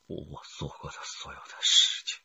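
An actor playing a frightened, remorseful murder suspect making an agitated, halting confession in a radio crime drama. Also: male voice, bright, forceful tone. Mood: fearful remorse. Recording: dull lo-fi sound, faint noise.

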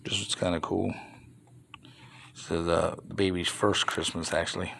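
A man's voice: low-pitched, little pitch movement, unhurried, gravelly, background noise. A man filming his toy finds on a phone and chatting about them casually, rambling with mild grumbling asides. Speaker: toy reseller showing flea market finds. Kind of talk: casual off-camera show-and-tell vlog. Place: indoors at a table of toys. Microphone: handheld phone camera.

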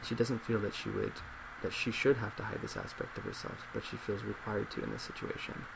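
Male, twenties, ASMR psychologist role play: heavy vocal fry, reflective delivery, loud fan noise. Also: fairly low pitch, brisk. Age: 20s. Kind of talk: soft-spoken ASMR role play, reading responses and reflecting. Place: small indoor office at a desk. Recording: steady loud laptop fan hiss.

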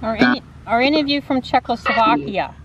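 A woman's voice: on the high side, expressive, quick pace, dull audio, a loud crowd. A middle-aged woman co-hosting a ghost hunting vlog, chatting casually and putting questions to spirits outdoors. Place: outdoors in an open rural cemetery.

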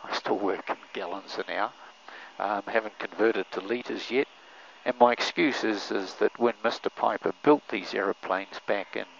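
An older man, a pilot giving relaxed cockpit commentary through a headset mic, with many fillers. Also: fairly low pitch, creaky, a little hiss or hum. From New Zealand. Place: small aircraft cockpit in flight. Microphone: aviation headset boom mic. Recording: muffled intercom audio with engine drone.

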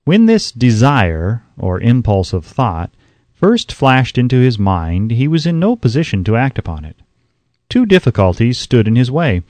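A man reading an audiobook as scripted narration, in a measured, emphatic way, close to the microphone. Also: mid-range voice, sing-song, darkish tone, no echo.

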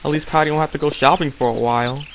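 A man's voice: on the high side, steady tone, fast talker, noisy background, natural voice. A man voicing an easygoing, clueless, mild-mannered teenage schoolboy in an anime fan dub. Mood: mild, thoughtful. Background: background music.

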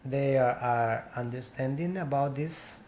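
A man in his forties speaks English with a Peruvian Spanish accent, pausing and restarting as he searches for words. His voice is dark and mellow, recorded close on a webcam in a small room, with a faint hiss behind it. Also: mid-range voice. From Peru. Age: 40s. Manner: halting English with many pauses and restarts. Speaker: interviewee, painter. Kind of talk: casual interview. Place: small room with painted walls, indoors.

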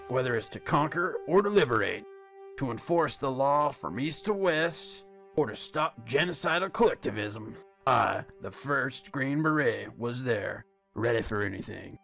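A man playing a gruff, proud and patriotic old American soldier in a comic-book cartoon, blunt and boastful. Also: medium pitch, fairly bright tone.

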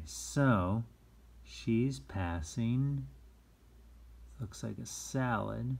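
A man who teaches, reading a children's book aloud and explaining it gently, acting out phrases expressively. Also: medium pitch. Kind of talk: children's read-aloud with commentary.

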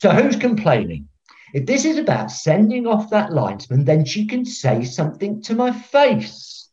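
An actor playing a pompous, eccentric veteran football referee, theatrical and self-righteous, on a video call. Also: male voice, sits high, wildly swooping pitch, moderate pace, darkish tone. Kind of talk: filmed comedy acting on a video call. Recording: dull, lo-fi video call audio.

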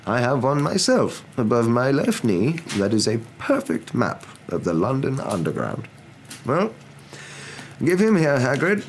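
A man voicing a calm, wise elderly wizard in a storybook, with a measured, drawn-out delivery. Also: medium pitch, pitched above the performer's own voice. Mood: calm, wry. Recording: noticeable background noise.

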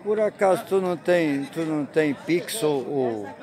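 A man's voice: a little high, animated, a little slow. A man with a Northeastern Brazilian accent chatting casually as he films outdoors at a fair. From Brazil, rural Pernambuco. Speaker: vlogger filming at a livestock fair. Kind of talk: casual chat while filming. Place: outdoors at an open cattle fair. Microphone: handheld phone behind the camera. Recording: busy outdoor crowd noise. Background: crowd chatter.

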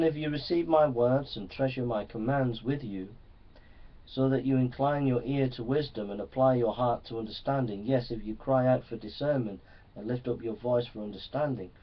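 A male preacher teaches from the Bible in an earnest, exhorting way. His voice sits at an average pitch for a man. It is picked up some distance from the microphone, and the sound is a little dull. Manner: earnest, exhorting, often seeking assent. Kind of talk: Bible teaching sermon to young people.

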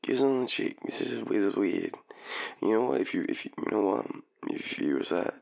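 A man's voice: fairly low pitch, sing-song, moderate pace, gravelly, darkish tone, muffled recording. A man with an Australian accent playing a drunk, shy, apologetic young man in an intimate boyfriend roleplay, stammering through a late-night call. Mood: tipsy, affectionate. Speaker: audio roleplay performer.

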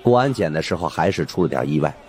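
A man's voice: extremely low pitch, lively intonation, fast talker, darkish tone, a faint crowd. A man narrating an audiobook as dramatic, scripted storytelling.

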